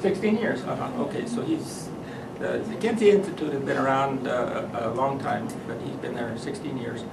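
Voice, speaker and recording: A man introducing a guest speaker at an event, halting with many fillers. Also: mid-range voice, creaky. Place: meeting room with audience. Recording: roomy, distant mic, background noise.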